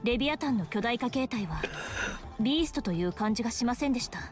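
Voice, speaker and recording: A woman voicing a brisk young anime heroine. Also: a little high, animated, music in the background, close to an everyday voice. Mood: matter-of-fact. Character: young female anime game character. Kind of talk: Japanese game voice acting.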